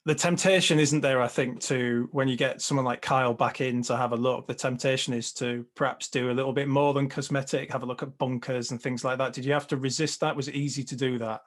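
A man's voice: medium pitch, fairly even intonation, brisk, hardly any pauses. A man interviewing a golf manager, asking long, musing questions with some hesitations.

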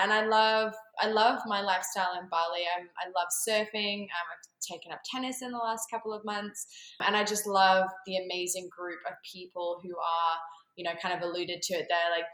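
An Australian-accented woman in her thirties talks in a chatty, upbeat way as a podcast guest. Her voice is bright and a little low, and it rings in an echoey room. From Zambia. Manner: chatty, upbeat, many fillers and restarts. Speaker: guest, marketing strategist. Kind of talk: casual interview talk.

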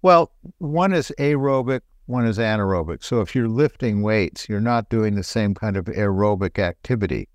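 Low-voiced doctor explaining calmly. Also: male voice, dry recording. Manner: explanatory, relaxed, laughs lightly. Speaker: doctor and show host. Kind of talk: casual health talk.

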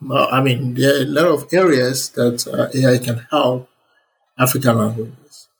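Young guy in an interview, earnest and excited, lots of uhs, really husky voice. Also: mid-range voice, normal speed, rough recording. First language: Yoruba. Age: young adult. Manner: earnest and enthusiastic, many fillers. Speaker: interview guest, computer scientist. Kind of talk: relaxed conversational interview answers.

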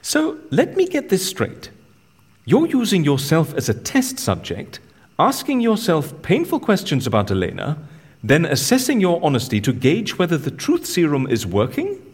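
Curious dry-witted confidant guy in a radio play, raspy voice, really up and down, nudging questions. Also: fairly high pitch, wildly swooping pitch, normal speed, heavily acted. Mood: wry, incredulous. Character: curious, gently probing male confidant with dry wit. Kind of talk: audio drama acting, conversational.

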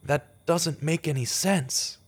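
A man's voice: a little high, slow, husky. A man playing an earnest investigator in a horror audio drama, with grave, dramatic delivery as he hunts a killer. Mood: shaken. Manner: grave, dramatic inner narration. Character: earnest male investigator hunting a killer in monster-haunted woods. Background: loud music.

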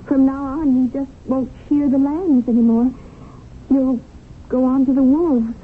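A very high-pitched wounded, plain wife in an old radio drama. She is knowing and quietly reproachful, placing each phrase with deliberate care. The recording is dull and noisy, like an old broadcast. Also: steady tone. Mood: hurt, bitter. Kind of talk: 1970s radio drama acting.

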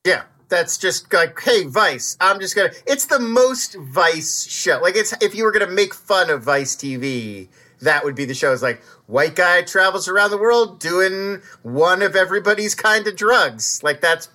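A man co-hosts a casual comedy podcast in a bright, clear voice. His pitch swings a lot, jumping up for emphasis as he jokes along. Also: lively intonation. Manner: goes high for emphasis, quick jokes. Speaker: podcast co-host, news reader.

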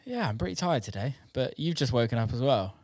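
A man's voice: medium pitch, big pitch swings, a little fast, darkish tone, dry recording, studio quality. A man hosting an interview show, chatting in an informal, slangy way. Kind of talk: casual conversational interview.

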